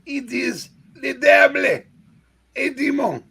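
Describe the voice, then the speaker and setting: A scheming French comic-book thief with a gravelly, husky edge. He is pompous and gleeful, drawing out his mock French accent and leaping between menace and grand exclamation. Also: very high-pitched, animated, takes it slowly, sharp tone, full character voice. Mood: excited, theatrical. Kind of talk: hammy comic-book villain read aloud.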